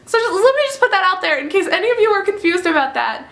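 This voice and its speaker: A young woman from France, chatting casually to camera at home in a bubbly, talkative way. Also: extremely high pitch. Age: young adult. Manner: bubbly, chatty, quick bursts of excitement. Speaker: vlogger.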